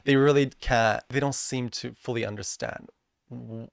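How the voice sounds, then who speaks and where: Easygoing young man with a gravelly edge. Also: medium pitch, moderate pace, no echo, studio quality. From Japan. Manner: relaxed, reflective, lots of fillers and restarts, switching between English and Japanese. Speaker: podcast guest. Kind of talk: casual chat.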